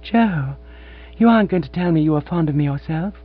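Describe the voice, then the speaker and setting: Guy putting on a pleading former actress lady, raised pitch, husky, dull hummy recording. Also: expressive, fairly quick. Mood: tender. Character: middle-aged woman, former actress, emotional. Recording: dull lo-fi with mains hum.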